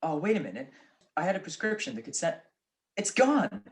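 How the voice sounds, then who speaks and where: A man's voice: sits high, big pitch swings, a little fast. A man playing a wisecracking, easygoing private detective in a radio-style mystery, with a wry, offhand manner. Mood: wry, groggy. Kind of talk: 1940s radio detective acting.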